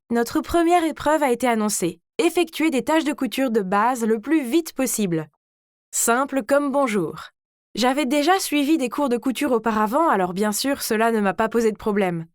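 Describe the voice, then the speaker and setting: A woman voicing a vain, scheming teenage girl who tells her own animated story in a smug, theatrical way. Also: fairly high pitch, no echo, clean studio sound, lightly acted. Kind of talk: dramatized first-person story narration.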